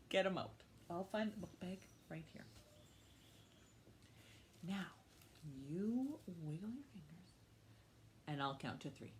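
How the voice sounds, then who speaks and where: A woman leads a storytime for little children, with faint noise behind her. Her pitch rises and falls a great deal as she talks and reads, and she takes her time. She speaks in simple questions and short phrases, as an expressive storyteller. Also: vocal fry. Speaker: storytime host reading to young children. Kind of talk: children's storytime, talk and picture-book read-aloud.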